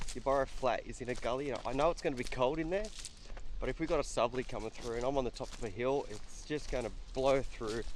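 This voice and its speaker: A congested, nasal-sounding man talks casually and haltingly, hosting an outdoor vlog. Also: medium pitch, a little hiss or hum. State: congested with flu. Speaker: camping channel host.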